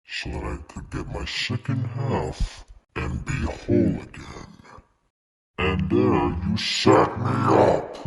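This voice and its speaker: A man voicing a menacing, vengeful alien mastermind with a gravelly growl, delivering theatrical, taunting villain monologues. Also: lowish voice, full character voice. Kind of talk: fan character voice clips, dramatic monologue.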